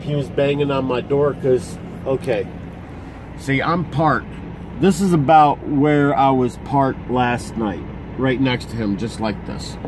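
A middle-aged man, a truck driver, talking casually to his phone camera from the cab of his parked truck, rambling and halting as he explains. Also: medium pitch. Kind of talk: casual vlog commentary. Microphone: phone camera at arm's length. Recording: phone recording in cab with engine noise.

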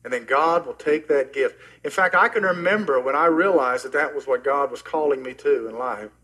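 A middle-aged man preaching a sermon as pastor, earnest and personal, often dropping soft and low at the ends of phrases. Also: normal speed, husky, rough recording. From Oklahoma, US.

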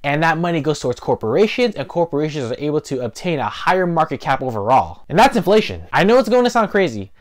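A man's voice: fairly high pitch, very animated, quick pace, hardly any pauses. A man in his twenties talking casually to camera as host of an explainer video, stressing key words, recorded indoors on a lapel mic. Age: 20s. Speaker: host of an investing video channel. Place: small living room, indoors.

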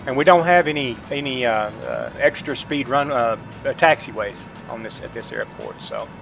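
A man's voice: mid-range voice, normal speed, over music. A middle-aged man giving casual, folksy gameplay commentary, chatting informally to his viewers. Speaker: gaming streamer. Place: indoors at a computer desk, webcam.

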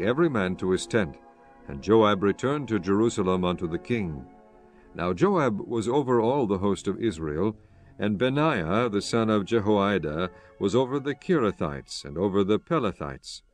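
A man narrating scripture from a script in a solemn, measured, formal manner. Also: lowish voice.

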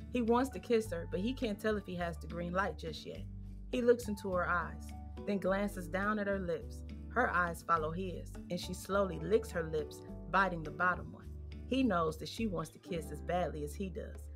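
A woman's voice: fairly low pitch, normal speed. A woman narrating an audio drama, reading scripted present-tense scene descriptions. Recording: long reverb, music behind. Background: music.

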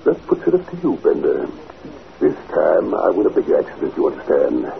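Gravelly, blustering, crooked senator. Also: male voice, extremely low pitch, huge pitch swings, normal speed, full character voice. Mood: conspiratorial. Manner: pompous, bullying, stammers when cornered. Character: blustering, scheming senator, irritable and self-serving. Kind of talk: 1940s radio drama acting. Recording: noisy, tinny 1940s radio recording.

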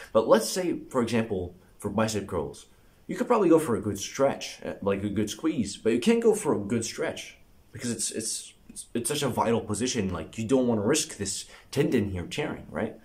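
A young man with a lowish voice talks to camera as a fitness vlogger. His delivery is informal and emphatic, like casual advice given to a friend. He is recorded indoors in a living room, a short way from the camera. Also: a little mellow. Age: young adult.

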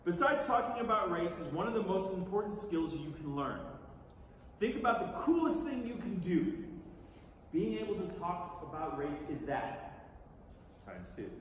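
A middle-aged American man giving a theatrical, conversational staged reading to an audience, deliberate and emphatic, with pauses. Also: sits high. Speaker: stage reader performing a book. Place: large theatre stage, indoors. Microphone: far audience camera. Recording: distant, echoey theatre recording with noise.

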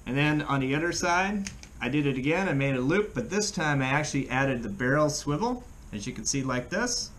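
A middle-aged American man giving a casual how-to demonstration in a plain-spoken, halting way, recorded indoors by a camera across a table. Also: a little high, animated, a bit bright. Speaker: fishing channel host. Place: small room at home, indoors. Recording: clear background room noise.

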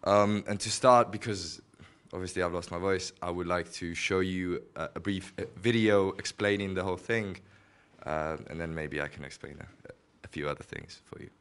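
Low, smooth-voiced young man losing his voice. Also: a bit bright. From Estonia. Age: 20s. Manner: halting, apologetic, with many fillers. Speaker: student presenter. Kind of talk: conference presentation. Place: small gallery room with audience. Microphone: handheld microphone.

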